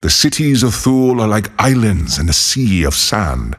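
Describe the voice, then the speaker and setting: An actor playing a solitary, haunted former monk turned lawless desert wanderer in a video game, speaking in a solemn, measured, husky voice. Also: male voice, fairly low pitch, very animated, a bit bright. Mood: weary, reflective. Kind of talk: scripted game character voicelines.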